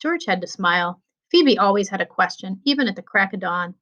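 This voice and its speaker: A woman's voice: mid-range voice, brisk. A woman reading a children's story aloud expressively, as a school librarian would. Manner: expressive, acts out dialogue. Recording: dull lo-fi sound.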